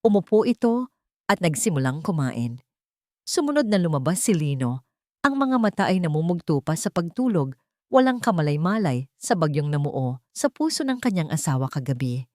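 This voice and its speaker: A woman's voice: deep voice, very animated, normal speed, darkish tone, no echo, studio quality. A woman with a Filipino accent giving a dramatic scripted narration of a romance story.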